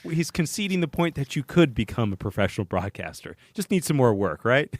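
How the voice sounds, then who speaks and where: A man's voice: medium pitch, very animated, fairly quick, no room sound. A man hosting a podcast, who asks curious follow-up questions and sums up what his guest says. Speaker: podcast host. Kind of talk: interviewing, casual chat.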